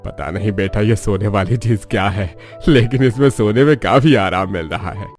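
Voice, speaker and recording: A man's voice: fairly low pitch, moderate pace, close to an everyday voice. A man voicing a plain-spoken older father of grown sons in a cartoon story. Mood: puzzled, content. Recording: background music under the voice. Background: music.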